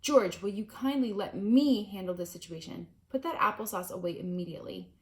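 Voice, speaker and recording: A woman's voice: mid-range voice, lively intonation, fairly quick, lightly acted. A woman reading aloud, voicing a stern, proper father who gives orders formally. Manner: commanding and formal.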